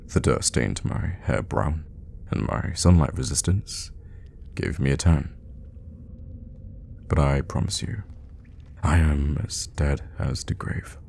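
A man playing a suave, teasing, sadistic vampire lord in an ASMR roleplay, speaking in an unhurried, theatrical and menacing way with a gravelly voice. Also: a little mellow, slight background noise, exaggerated voice. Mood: wry, wistful. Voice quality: very deep, gravelly. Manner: unhurried, theatrical, with drops into whispers. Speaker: voice actor in an audio roleplay.

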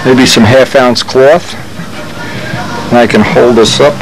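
A man's voice: medium pitch, slow, music in the background. A man giving a casual hands-on how-to, talking unscripted as he works, as a hobbyist showing a repair. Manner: unscripted, thinking aloud while working. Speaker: hobbyist demonstrating model plane repair. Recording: noisy workshop with steady machine hum.

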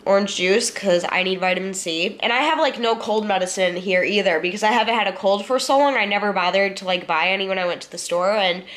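A woman's voice: medium pitch, fairly bright tone. A young woman with a head cold talks straight into a handheld camera held close to her face, her voice stuffy and nasal from congestion. She rambles in an easygoing, chatty way and swears casually, settled on a couch in her living room. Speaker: vlogger.

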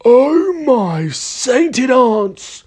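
A storyteller voicing an excitable, blustering older man in a storybook, with urgent, theatrical exclamations. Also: sits high, moderate pace, husky, pitched above the performer's own voice, heavily acted. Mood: dismayed.

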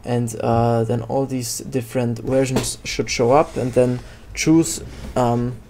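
A man narrating a casual software tutorial in a hesitant, halting way. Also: medium pitch, little pitch movement, slight background noise. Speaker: tutorial host.

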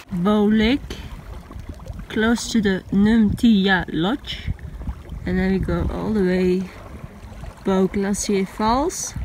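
A woman's voice: medium pitch, fairly even intonation, drawn-out words, long pauses, darkish tone, slight background noise, rough recording. A young woman filming herself on a mountain hike, chatting cheerfully to the camera between laughs. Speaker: hiking vlogger. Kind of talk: casual vlog narration while hiking. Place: outdoors on a mountain trail. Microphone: handheld camera at arm's length.

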